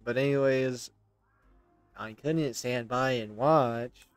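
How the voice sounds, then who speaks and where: A man's voice: medium pitch, fairly even intonation, slow pace, smooth, no room sound, faint music behind. A man in his thirties doing casual, rambling, hesitant gameplay commentary and reading game dialogue aloud as he streams. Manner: rambling, hesitant, self-interrupting.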